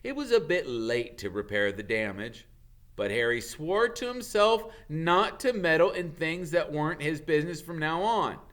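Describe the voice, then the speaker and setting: A man, a teacher, reading a storybook aloud with expressive narration. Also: on the high side, normal speed.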